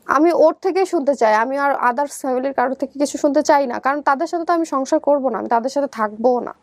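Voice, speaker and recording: A young Bangladeshi woman with a high voice, recorded close and dry. She tells her life story conversationally, slipping into quoted dialogue as she goes. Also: quick pace, dry recording. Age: young adult. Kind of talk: personal storytelling in an interview.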